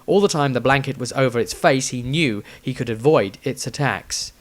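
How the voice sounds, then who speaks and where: A man's voice: medium pitch, moderate pace. A man reading his own fantasy story aloud as a scripted, dramatic narration with a theatrical delivery.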